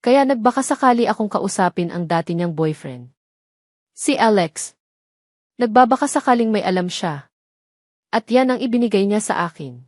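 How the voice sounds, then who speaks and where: A woman's voice: medium pitch, animated, moderate pace, long pauses, a little mellow, no echo, clean studio sound, close to an everyday voice. Woman voicing a scheming, falsely caring younger sister. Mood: feigned concern. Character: scheming younger sister feigning pity and concern.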